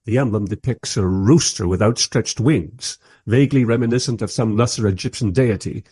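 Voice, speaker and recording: An actor playing a polite, squeamish documentary interviewer who narrates in a hesitant, wry manner in a radio play. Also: male voice, on the low side, normal speed, mellow, husky, dry recording, studio quality. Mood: polite, flustered.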